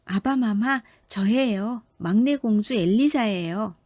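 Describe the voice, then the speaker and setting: A woman voicing a gentle, earnest fifteen-year-old storybook princess with a polite, plaintive manner. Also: mid-range voice, slow, no echo, clean studio sound, pitched above the performer's own voice. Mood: pleading. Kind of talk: storybook character voice.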